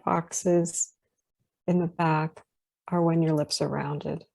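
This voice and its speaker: A woman with a West Coast US accent teaching phonetics on a video call, gently correcting details and encouraging students. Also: low-pitched, little pitch movement, slow, vocal fry, no echo. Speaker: phonetics instructor. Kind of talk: online class discussion.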